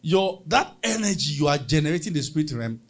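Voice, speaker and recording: Man, Nigerian accent, pastor, husky voice, emphatic sermon, call-and-response. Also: on the high side, very animated, fast, faint music behind.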